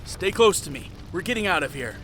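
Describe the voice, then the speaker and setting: A man's voice: high-pitched, sing-song, a little fast, a bit bright, heavily acted. A man playing a wry, sarcastic young knight in a fantasy audio drama, exclamatory and strained. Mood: protective.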